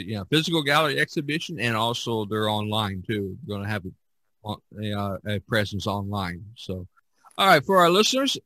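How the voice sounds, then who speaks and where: Guy hosting a casual podcast, kinda halting, sharp bright voice, really dry recording. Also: medium pitch, no echo, low-quality recording. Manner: halting, with repeated false starts. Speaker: podcast host. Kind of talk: casual chat about art.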